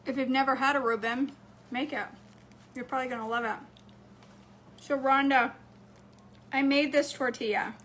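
Cheery lady in her 40s talking into her phone in the kitchen, bit hoarse, highish voice. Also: steady tone, moderate pace, pauses often, a bit bright, slight background noise. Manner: chatty, upbeat, talks straight to camera. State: slightly hoarse. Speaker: cooking vlogger. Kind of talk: casual chat to viewers. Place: home kitchen, indoors. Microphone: phone camera close up on a counter.

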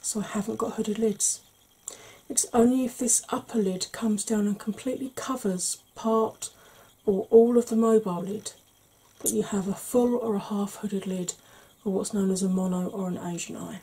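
A woman in her forties talks through a makeup tutorial in a soft, hushed ASMR manner, slow and patient. Her voice is warm and mellow, and very breathy, slipping into whispers. She sounds close to a desk webcam in a small room at home. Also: medium pitch, fairly even intonation. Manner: slow, gentle, patient explaining. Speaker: makeup vlogger.

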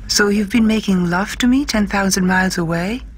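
A woman playing a caring, flirtatious pen-friend, reading a letter gently and intimately for an audiobook, with a husky voice. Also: medium pitch, a little fast, natural voice. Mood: tender, teasing.

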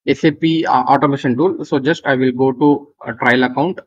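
A man with an Indian accent presenting an unscripted software walkthrough, halting and repetitive as he gives step-by-step instructions. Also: medium pitch, steady tone. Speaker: tutorial presenter. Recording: dull lo-fi voice recording.

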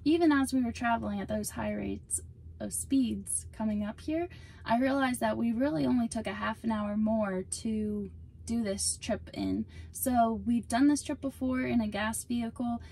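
A woman's voice: fairly high pitch, moderate pace, gravelly, dark tone, slightly rough. A young woman chatting upbeat to a phone camera held at arm's length in a parked car. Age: young adult. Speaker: vlog co-host. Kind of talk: casual vlog talk.

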